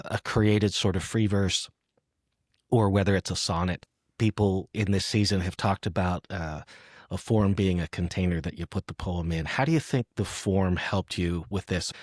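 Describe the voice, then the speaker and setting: Low-voiced male poetry podcast host, close and dry. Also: darkish tone, no room sound. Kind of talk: conversational poetry interview with read-aloud poems.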